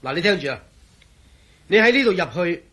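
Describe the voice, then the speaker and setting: A man acting a proud, excitable engineer and grumbling companion in a radio drama, with a Hong Kong Cantonese accent. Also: sits high, a little fast, breathy edge, full character voice. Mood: careful, warning.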